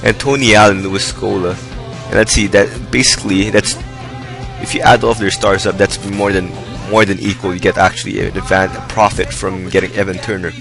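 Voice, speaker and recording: A young man talks through his gameplay in a low, gravelly voice that has gone hoarse from a long session. His commentary is loose and rambling but upbeat, and faint music plays underneath. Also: little pitch movement, a little fast. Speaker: gaming video host. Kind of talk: casual game commentary. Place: indoors, hot room.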